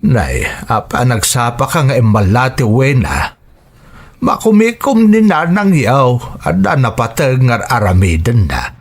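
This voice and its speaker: Man acting questioning older father, lively pitch. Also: measured pace, slightly rough, exaggerated voice. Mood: inquiring. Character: older father, questioning his grown daughter. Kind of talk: serial audio drama acting.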